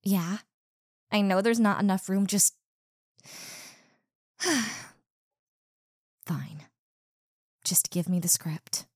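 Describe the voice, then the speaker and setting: A woman's voice: mid-range voice, drawn-out words, pauses often, no room sound, studio quality. A woman playing a rude, insecure college mean girl. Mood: hostile, irritated. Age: young adult. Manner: sharp-tongued, defensive, stumbling over words. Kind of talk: one-sided audio roleplay.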